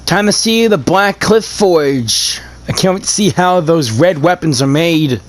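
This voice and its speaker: Slow, higher-voiced male gamer. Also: expressive. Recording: faint background noise.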